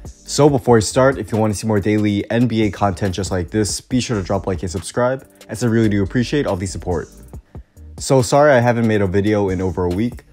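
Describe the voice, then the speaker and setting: A man's voice: on the low side, fast, a little mellow, faint music behind. A young man reading scripted basketball commentary from a prepared text, his voice still a bit off after the flu. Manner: reads a prepared script with stat lists, upbeat. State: recovering from the flu, voice slightly off. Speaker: sports commentary YouTuber.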